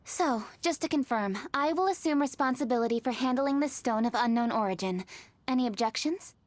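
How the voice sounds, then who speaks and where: Woman playing a brisk, high-voiced young official. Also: no echo, close to an everyday voice. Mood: businesslike. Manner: businesslike, matter-of-fact. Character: brisk, diligent, serious young female official. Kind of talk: video game voice acting.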